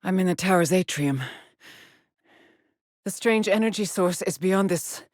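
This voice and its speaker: An actress voicing a weary, driven middle-aged woman exploring space in a video game, with a husky, clipped and solemn delivery. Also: on the low side, a little slow, a little mellow, no room sound, studio quality, close to an everyday voice. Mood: focused, measured. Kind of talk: dramatic game voice lines, terse log entries.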